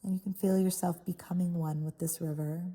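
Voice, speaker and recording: A woman with a very mellow, relaxed voice pitched a little low leads a guided visualization. She speaks soothingly in short phrases. Pauses between them give the delivery an unhurried feel. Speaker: guided meditation host. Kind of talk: guided meditation, soft guided visualization.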